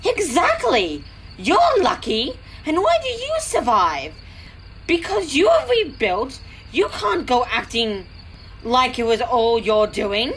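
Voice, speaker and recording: Lady voicing a no-nonsense girl train engine, high voice, swoops around, bit of noise. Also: very animated, slow, fairly bright tone, exaggerated voice, poor audio. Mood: exasperated. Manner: frank, reproving, earnest. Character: sensible, frank female switcher locomotive, firm and exasperated. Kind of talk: fan audio drama acting.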